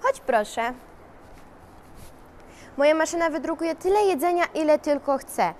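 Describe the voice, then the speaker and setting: A kind, brave young heroine played by a teenage girl, high in pitch and earnest. She declaims her lines in an amateur sci-fi drama, with noise around her. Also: lively intonation, a little slow, a little mellow. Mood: kind, inviting. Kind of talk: amateur school film acting.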